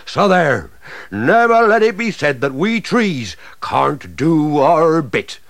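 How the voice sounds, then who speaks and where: A man's voice: a little high, big pitch swings, slow, a bit bright, dry recording, voice pushed up, full character voice. A pompous old English oak tree grumbles and blusters, as a man puts him on, his voice leaping wildly between lofty pronouncements and panicked yelps. The storybook character sounds close and dry, with no room around him. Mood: defiant.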